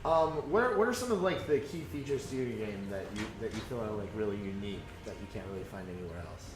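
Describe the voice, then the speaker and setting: Male host, jokey banter, echoey hall, loud background music. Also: on the low side, expressive, fast. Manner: jokey, banters, asks leading questions. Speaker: interviewer host. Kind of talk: casual joking interview. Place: convention floor.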